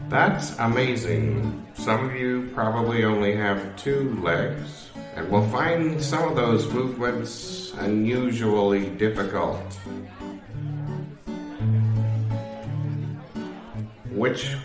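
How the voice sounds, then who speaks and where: A man's voice: lowish voice, expressive. A man playing an effusive, formally polite MC at a community dance in an improvised comedy sketch. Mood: polite, instructive. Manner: effusive, formally polite announcer with drawn-out emphasis. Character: gushing, courteous male MC at a community dance night. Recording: roomy sound with guitar music behind.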